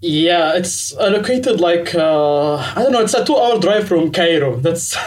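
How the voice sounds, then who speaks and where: A man's voice: fairly high pitch, lively intonation, a little slow, far from the mic. A man from Egypt with an Egyptian accent, calling in and chatting cheerfully, laughing often. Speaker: caller. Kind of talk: casual chat.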